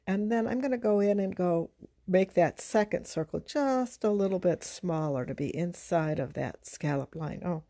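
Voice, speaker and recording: A middle-aged woman giving an informal drawing lesson, thinking aloud in a halting, unhurried, conversational way as she works. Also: lowish voice, gravelly, mellow. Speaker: art teacher. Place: indoors at a drawing table.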